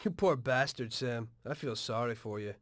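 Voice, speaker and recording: An actor playing a betrayed, principled mobster in a game cutscene, earnest and bitterly defiant. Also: male voice, medium pitch, moderate pace, fairly bright tone, no echo, studio quality, natural voice. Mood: pitying. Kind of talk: dramatic video game cutscene acting.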